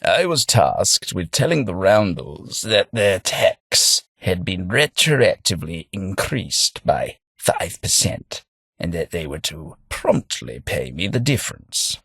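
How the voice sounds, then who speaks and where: An actor playing a sardonic, well-spoken tithe collector in a video game, with a husky, understated delivery and dry humour. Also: male voice, low-pitched, sing-song, slow pace, fairly bright tone, no echo, exaggerated voice. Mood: measured. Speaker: game companion character. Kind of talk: game voice lines.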